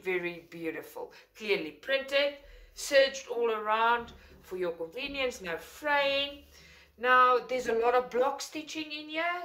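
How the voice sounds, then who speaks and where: A woman's voice: on the high side, a bit bright. South African woman, Afrikaans first language, enthusiastic rambling craft vlog host, casual unboxing chat. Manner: chatty, enthusiastic, rambling with self-corrections. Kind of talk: casual unboxing talk to camera. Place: indoors at a table, filming overhead.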